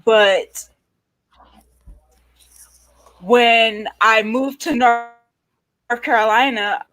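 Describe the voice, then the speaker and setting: Woman, New York accent, panel host; very slow, halting delivery with long pauses. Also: on the high side, steady tone, fairly bright tone, low-quality recording. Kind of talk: casual panel chat.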